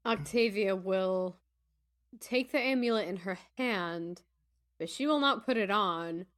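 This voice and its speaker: A woman's voice: mid-range voice, unhurried, fairly bright tone. A woman playing a tabletop role-playing game, describing what her character does. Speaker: tabletop game player, podcast host.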